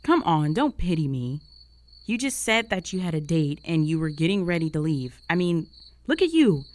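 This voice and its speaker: A slightly low-voiced young female roommate, acted by a woman in a romantic audio roleplay. She is jealous and easily flustered, hiding a crush. Her delivery is intimate, conversational and hesitant, with feelings close to the surface. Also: very animated, moderate pace, a little mellow. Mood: disbelieving, flustered. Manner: conversational, hesitant, sighing, emotionally exposed.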